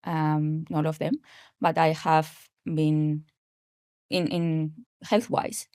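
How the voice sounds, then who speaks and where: A woman's voice: sits low, little pitch movement, takes it slowly, darkish tone, smooth, no room sound, studio quality. A woman in her forties with a Spanish accent, a podcast guest talking casually and hesitantly with many fillers. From grew up in Spain. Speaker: podcast guest, health coach. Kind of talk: casual interview talk.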